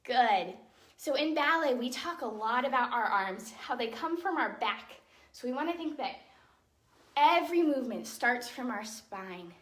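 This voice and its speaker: A young woman teaches a children's dance class in a high, bright voice. She gives simple, encouraging instructions and counts out the moves. The recording is roomy, with her voice some way from the microphone. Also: animated, measured pace. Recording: roomy echo, distant microphone.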